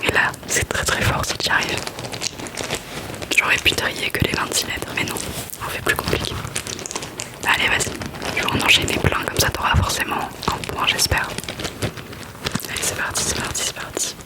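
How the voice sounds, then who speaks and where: A young woman whispering softly and playfully, repeating letters over and over in an ASMR guessing game. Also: normal speed, long pauses, poor audio. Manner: playful, chatty, self-mocking. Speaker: ASMR creator.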